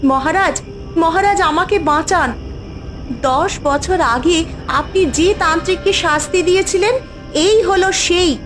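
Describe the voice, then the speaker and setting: A woman's voice: very high-pitched, a little slow, music in the background. A woman voicing a defiant, righteous magical firebird in a cartoon fairy tale, declamatory and urgent. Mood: urgent pleading.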